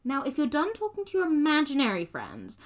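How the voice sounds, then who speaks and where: A woman's voice: high voice, huge pitch swings, a little fast. An actress playing a young woman friend who talks in a dry, teasing way.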